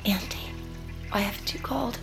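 A woman's voice: mid-range voice, moderate pace, creaky, loud music behind the voice, natural voice. An actress playing a frightened young woman who hides and whispers in panic. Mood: panicked. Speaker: lead character.

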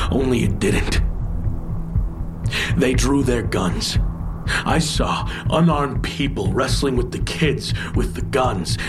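An actor playing a guilt-ridden, bitter, grieving man in an audio drama, confessing in a heavy, halting way. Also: mid-range voice. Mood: haunted, anguished. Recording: music playing under the voice.

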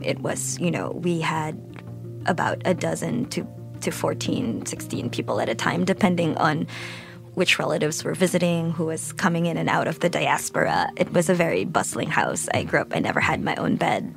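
A woman from the Philippines, speaking reflectively and thoughtfully in an interview, with halting pauses. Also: sits low, gravelly. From Philippines (Manila). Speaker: interview guest, photojournalist.

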